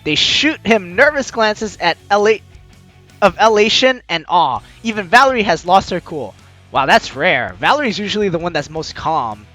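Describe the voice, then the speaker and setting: A man playing a visual novel, reading the text aloud with excitable, joking reactions and the odd stumble, over music. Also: sits high, normal speed. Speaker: let's player. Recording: music behind the voice.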